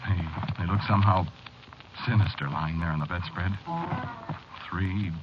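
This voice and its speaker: An actor playing an anxious, haunted office man, confiding haltingly in an old-time radio horror drama. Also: low-pitched, fairly even intonation, background noise. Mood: brooding, haunted. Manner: halting, brooding, confiding in the listener. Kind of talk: old-time radio horror acting, first-person narration. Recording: dull old radio drama recording, music behind.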